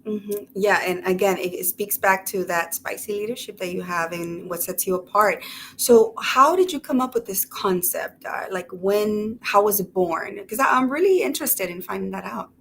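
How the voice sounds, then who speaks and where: A woman's voice: mid-range voice, moderate pace, gravelly, a bit bright, slight background noise. A woman co-hosting a casual interview chat, encouraging and enthusiastic toward her guest. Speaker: co-host.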